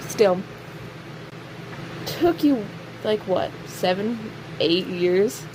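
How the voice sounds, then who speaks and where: Woman acting a slow-drawling, snarky jailed killer. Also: mid-range voice. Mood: sardonic, smug. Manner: sarcastic, drawling, teasing, with pointed pauses. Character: snarky, taunting imprisoned serial killer, cocky and sardonic. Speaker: voice actor in an audio roleplay. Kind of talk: audio roleplay acting, addressing an unheard listener. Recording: steady clear background hiss or hum.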